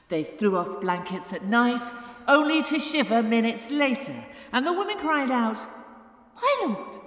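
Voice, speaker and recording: An actress playing an indignant churchwoman delivering a theatrical mock-biblical sermon monologue from a pulpit. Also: medium pitch. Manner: theatrical, grandly declamatory, deliberate. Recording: echoey church-like hall reverb.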